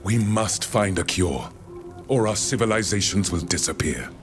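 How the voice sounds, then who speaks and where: A low male voice from an actor plays an older, learned naturalist in a fantasy game. He is measured and courteous, reflective in temper. Music plays clearly underneath. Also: expressive, close to an everyday voice. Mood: grave. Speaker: scholar from the continent. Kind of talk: scripted video game dialogue. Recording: music behind the voice.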